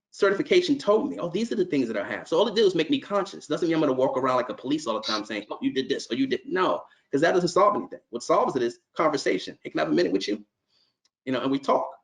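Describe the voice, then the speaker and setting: Middle-aged man, nonprofit executive, earnest and conversational, ordinary mid-pitched voice, restarts sentences. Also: vocal fry, a bit bright. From New York. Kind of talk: conference remarks on a call.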